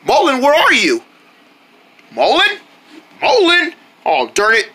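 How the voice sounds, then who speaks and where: A friendly cartoon narrator, played by a performer, with a bright voice and very lively intonation. He is folksy and chatty, and sounds caring toward the characters. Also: medium pitch, moderate pace, breathy edge, heavily acted. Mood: concerned.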